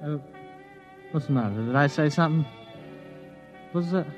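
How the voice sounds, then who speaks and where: A man's voice: on the high side, moderate pace, close to an everyday voice. An actor plays a boozy drifter from an old science fiction radio play. He is a man who rambles loosely and hesitates as he goes. The recording is dull and vintage, with faint music behind. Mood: tipsy, anguished. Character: rambling, tipsy barfly man, sci-fi drifter. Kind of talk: old-time radio drama acting.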